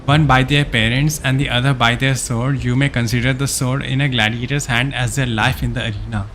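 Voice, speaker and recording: A man's voice: mid-range voice, steady tone, a little fast, fairly bright tone, faint music behind, lightly acted. Man reading as a haughty, commanding game nobleman. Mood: haughty, challenging. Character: haughty nobleman setting deadly gladiator trials.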